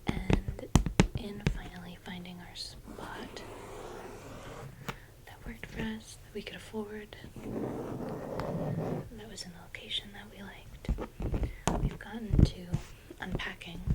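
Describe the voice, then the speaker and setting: Whispering young woman doing soft ASMR. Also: mid-range voice, steady tone, slow, pauses often, low-quality recording. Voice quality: breathy whisper. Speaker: ASMR video creator. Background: clear noise, clicks and crinkles from handled items.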